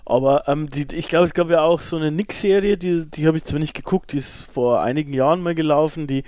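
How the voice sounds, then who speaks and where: Higher-voiced man, enthusiastic podcast chat. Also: brisk, darkish tone, no echo. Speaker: podcast co-host.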